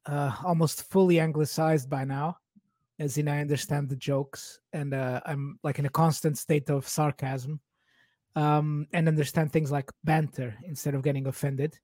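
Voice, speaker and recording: A man's voice: a little high, little pitch movement, normal speed, darkish tone, no room sound, studio quality. A man in his forties from Portugal, a native Portuguese speaker, chatting informally and in a rambling way as a podcast guest. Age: 40s. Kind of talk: casual personal chat.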